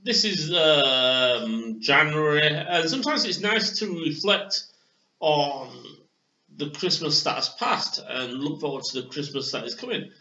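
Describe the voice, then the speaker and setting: A man's voice: on the high side, expressive, unhurried, sharp tone. A young man from England hosting a casual beer tasting review at home, hesitant and full of fillers, recorded on a webcam in a small room. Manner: hesitant, many fillers and restarts. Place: small bedroom with posters, indoors. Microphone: webcam on desk. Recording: roomy, dull lo-fi webcam audio.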